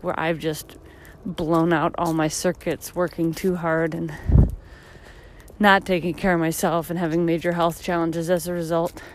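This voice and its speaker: A tired woman in her thirties, hosting her own podcast, reflecting in a rambling, casual way while walking outdoors on a street, her voice a little deeper and husky. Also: little pitch movement, unhurried, a little hiss or hum. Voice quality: slightly deep, husky from tiredness. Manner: reflective, rambling, many fillers. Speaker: podcast host. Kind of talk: casual solo podcast monologue.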